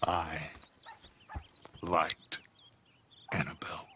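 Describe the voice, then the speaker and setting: An actor playing a proud, brooding middle-aged American outlaw gang leader in a western game, speaking gravely and with deliberate authority. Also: male voice, very deep voice, level tone, pushed and bright, a little hiss or hum, full character voice. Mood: grave, wounded. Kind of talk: cinematic western game acting.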